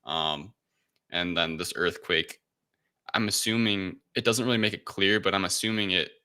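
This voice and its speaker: A man hosting a casual film review discussion, recapping in a halting, hedging way. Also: deep voice, sharp tone, smooth. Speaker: podcast host.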